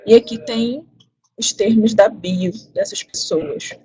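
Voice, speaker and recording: A woman demonstrating a software platform on a webinar screen share, reading out lists in an explanatory, casual way. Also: fairly high pitch, slow pace. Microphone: video call. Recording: dull lo-fi audio.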